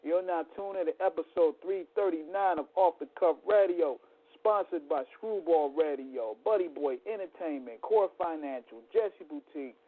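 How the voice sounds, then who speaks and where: A man hosts a casual radio show, hyping up listeners and the guest in slangy talk. His voice sits fairly high, and the audio sounds thin and phone-like. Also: moderate pace, hardly any pauses. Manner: hype, slangy, shout-outs. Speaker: podcast host.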